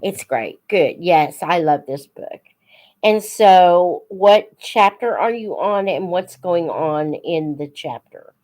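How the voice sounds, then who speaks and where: Woman doing a chatty livestream q&a, kinda low voice, really slow and unhurried, thinks out loud. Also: lively intonation. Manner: unhurried, thinking aloud, talks to viewers. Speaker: host of a live book Q&A, teacher-like reader. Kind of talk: casual live chat, answering viewer questions.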